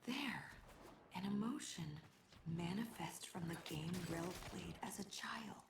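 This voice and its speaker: A woman playing a solemn, reverent mystic priestess in a game drama, hushed and measured with a breathy, creaking voice. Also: medium pitch, background noise. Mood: urgent, solemn. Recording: echoey, music behind.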